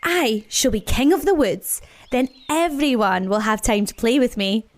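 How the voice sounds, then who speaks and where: A woman voicing a pompous, grumpy male badger in a storybook, proclaiming imperiously with drawn-out words. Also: a little high, animated, noisy background, close to an everyday voice. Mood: eager.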